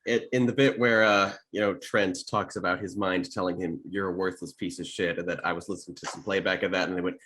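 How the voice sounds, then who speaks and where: Male discussion host, slightly low pitch, informal, hesitant, word-searching delivery. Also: fairly even intonation, a little fast, few pauses, a bit bright. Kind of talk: casual chat.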